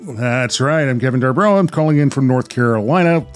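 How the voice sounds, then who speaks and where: A man's voice: mid-range voice, lively intonation, brisk, faint music behind. An American man hosting a podcast, chatting casually and narrating. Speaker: podcast host.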